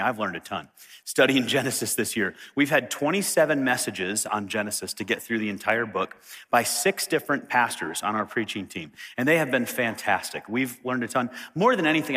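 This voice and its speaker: A pastor preaching a casual, friendly sermon to his congregation, his throat a little raspy after an illness. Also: mid-range voice. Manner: friendly, conversational, lively. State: slightly raspy throat after being sick. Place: church sanctuary. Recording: reverberant hall.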